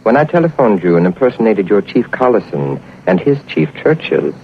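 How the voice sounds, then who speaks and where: A suave male villain, a boastful spymaster full of polite menace in a forties radio thriller. His lowish voice glides over a wide range. The vintage recording is thin and tinny. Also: big pitch swings, brisk, a little hiss or hum. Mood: smug. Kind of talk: 1940s radio drama acting.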